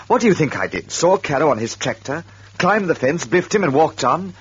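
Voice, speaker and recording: A man's voice: a little high, very animated, brisk, breathy edge, full character voice. An actor playing an embittered, defensive man accused of murder, insistent and sardonic, in a radio drama. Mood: indignant. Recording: dull lo-fi radio recording.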